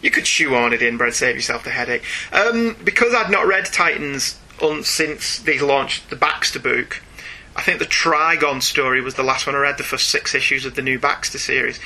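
Bright, forceful man, slightly husky. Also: mid-range voice. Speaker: podcast host. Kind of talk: casual chat and reading listener emails aloud.

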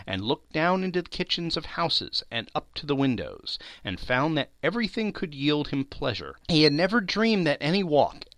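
A man's voice: fairly high pitch, sing-song, normal speed, few pauses, a bit bright, dry recording. A man narrating a classic tale in a theatrical, dramatic audiobook style. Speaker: podcast narrator.

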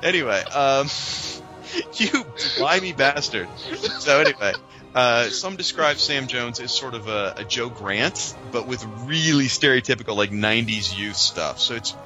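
A man co-hosting a podcast, chatting casually and informally like an animated fan. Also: medium pitch, normal speed, faint music behind, rough recording. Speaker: podcast co-host.

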